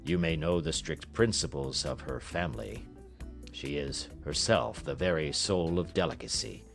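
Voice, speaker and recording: A man voicing an anxious, proud king in a grave, formal, deliberate manner, in an audiobook story. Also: a bass voice, animated, creaky, faint music behind, pitched below the performer's own voice, exaggerated voice. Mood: grave, troubled.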